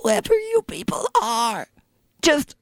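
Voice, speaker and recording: Casting: a man as a pitiful hungover fellow for audio comedy, straining high and swooping, with halting groans. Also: very animated, no room sound, clean studio sound, exaggerated voice. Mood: miserable, pleading. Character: groaning, miserable hungover man begging for food.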